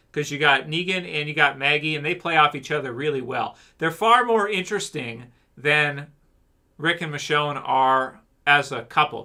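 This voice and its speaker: A man's voice: fairly high pitch, bright, forceful tone. A man hosting a livestream, chatting casually and rambling with jokes while answering his chat. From Canada. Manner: rambling, joking, talks back to chat. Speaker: livestream host.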